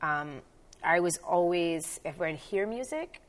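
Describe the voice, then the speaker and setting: Chatty woman with a lowish voice. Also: expressive, slow. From New Jersey, USA. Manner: chatty storyteller, laughs easily, long run-on answers. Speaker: podcast guest, dance instructor. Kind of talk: casual interview chat.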